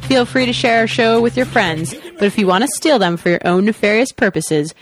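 A woman's voice: medium pitch, lively intonation, fairly quick, soft background music. A woman co-hosting a radio podcast, reading the closing announcements in a playful, upbeat way. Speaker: podcast co-host. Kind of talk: scripted show outro.

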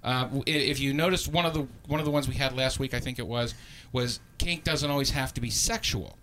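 A man's voice: medium pitch, brisk, bright, forceful tone, a little hiss or hum. A man hosting a live podcast, talking loosely and laughing often, with frequent restarts. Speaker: podcast host.

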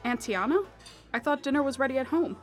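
A woman's voice: high voice, expressive, a little fast, soft background music. A young woman acting in an audio drama as a skeptical, grieving daughter who mutters to herself in disbelief. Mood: uneasy.

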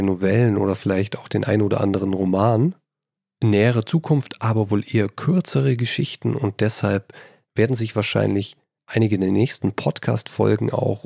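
A man hosting a solo writing podcast, slightly hoarse from a cold, talking in a chatty, self-deprecating way into a handheld mic in a small bedroom. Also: fairly low pitch, darkish tone, no room sound, clean studio sound. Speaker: podcast host. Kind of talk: casual solo podcast talk. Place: old childhood bedroom at his mother's house. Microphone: handheld microphone.

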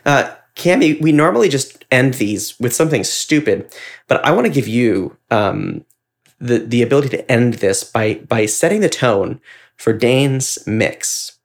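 A man's voice: mid-range voice, animated, unhurried. A man co-hosting a podcast, talking in a casual, reflective way with effusive, wordy and earnest delivery. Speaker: podcast co-host and ad maker.

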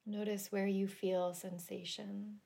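A woman leading a guided relaxation meditation in a gentle, soothing, deliberate manner with long pauses. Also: mid-range voice, flat delivery, creaky, breathy edge. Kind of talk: guided yoga nidra meditation.

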